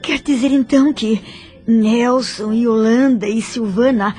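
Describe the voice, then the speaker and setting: A woman's voice: fairly high pitch, steady tone, unhurried, warm tone, faint music behind, close to an everyday voice. A Brazilian woman playing a tender, emotional female spirit in an audio drama, humble and reverent in manner. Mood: moved, tender.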